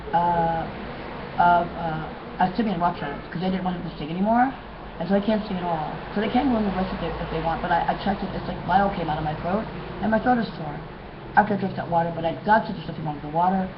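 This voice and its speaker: Hoarse woman, lowish voice, rambling webcam monologue, muffled audio, steady hiss behind. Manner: rambling, repetitive, many restarts. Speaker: vlogger. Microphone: webcam mic.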